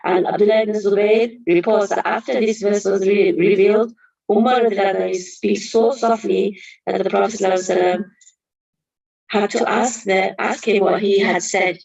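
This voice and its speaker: A woman's voice: medium pitch, steady tone, fairly quick, gravelly, a little mellow, muffled recording. A woman giving an earnest Qur'an study lesson, explaining verses carefully with reverent honorifics. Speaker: religious teacher.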